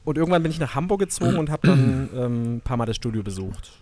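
A man with a faint Saxon accent, a guest chatting casually on a podcast and often pausing with hesitation fillers. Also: medium pitch. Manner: relaxed, lots of hesitation fillers. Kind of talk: casual conversation.

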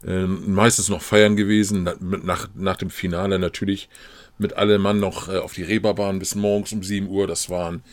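A man who is a regular on the podcast, reminiscing casually with drawn-out fillers. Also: low voice, flat delivery, no room sound. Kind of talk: casual conversation.

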